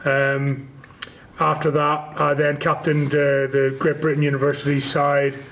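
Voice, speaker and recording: A man's voice: on the high side, monotone, measured pace, a bit bright, dull audio, a little hiss or hum. A man in his forties with a Northern Irish accent, giving casual interview answers as a guest, with hesitant, stop-start phrasing. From Northern Ireland. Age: 40s. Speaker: interview guest, rugby league club chief executive.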